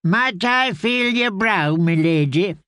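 A man voicing a kindly, courteous old man in rags who tends wounds, in a slow, soothing storybook reading. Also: high-pitched, lively intonation, sharp tone, smooth, no room sound, studio quality, voice pushed up, exaggerated voice. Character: kindly old ragged man, gentle healer.

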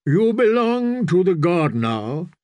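A man's voice: high-pitched, very animated, slow, darkish tone, no echo, voice pushed up, heavily acted. A man voicing a stern, fervent sea-god priest in a fantasy audiobook, solemn and commanding. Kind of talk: dramatic fantasy audiobook character voice.

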